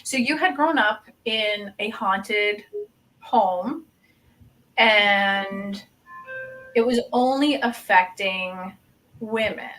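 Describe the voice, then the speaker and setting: A woman from Pennsylvania co-hosting a casual chat show, chatty and quick to laugh. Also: mid-range voice, a bit bright, faint music behind, low-quality recording. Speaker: podcast co-host.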